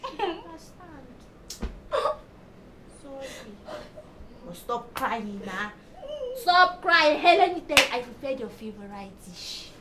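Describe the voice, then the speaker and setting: A woman's voice: sits high, expressive, a little slow, long pauses, far from the mic. An actress with a Nigerian accent playing a hard-nosed, money-minded mother who scolds and coaxes in a screen drama. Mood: scolding, coaxing. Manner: exclamatory, scolding and coaxing. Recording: very noisy, echoey room sound.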